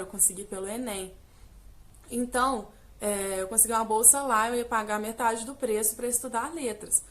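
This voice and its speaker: A young woman from Brazil, an English teacher, telling her story in a casual vlog with lots of fillers, speaking straight to her viewers. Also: a little high. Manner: chatty, direct address with many fillers.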